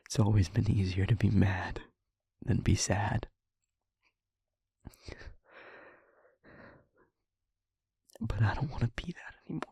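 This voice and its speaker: Guy acting a troubled young man in an audio roleplay, raspy and dark toned, halting emotional confession, angry at himself. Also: on the low side, no echo, exaggerated voice. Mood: weary, sad. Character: angry, guilt-ridden young man confiding in a friend. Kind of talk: intimate audio roleplay drama.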